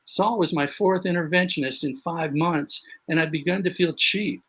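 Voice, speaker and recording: Man reading his fiction aloud, measured. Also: a little high, a bit bright, breathy edge, low-quality recording. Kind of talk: prose reading.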